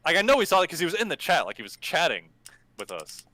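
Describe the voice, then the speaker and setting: A man chatting on a stream, telling animated stories and swearing casually. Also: a little high, quick pace.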